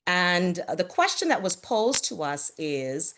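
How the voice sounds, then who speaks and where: A middle-aged woman from the Bahamas speaking on a video call panel, earnest and measured, with frequent fillers and restarts. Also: fairly low pitch, very animated, fairly bright tone, a little hiss or hum. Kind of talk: reflective talk on a virtual panel.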